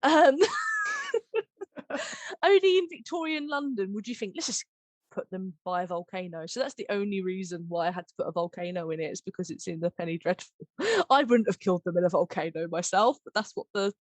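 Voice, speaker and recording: A woman podcast host chats casually about production work in a dry, close-sounding space. Her voice sits at a middle pitch, and her delivery is giggly, gushing and animated. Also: a little fast, dry recording. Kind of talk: casual behind-the-scenes chat.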